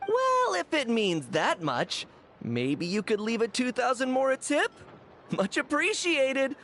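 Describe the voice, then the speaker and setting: A woman playing a cheeky, warm-hearted youngster from a street gang in a video game. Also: high voice, wildly swooping pitch, a little slow, slight background noise, full character voice. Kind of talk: video game character dialogue.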